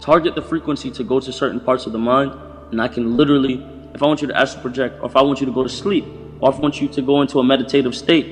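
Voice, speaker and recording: Fast-talking man in long reverb. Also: mid-range voice, steady tone. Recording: long reverb, music underneath. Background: music.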